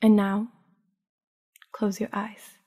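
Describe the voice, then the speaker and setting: A woman narrating a scripted guided sleep meditation in a soothing, hushed, unhurried manner with long pauses. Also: mid-range voice, little pitch movement, husky.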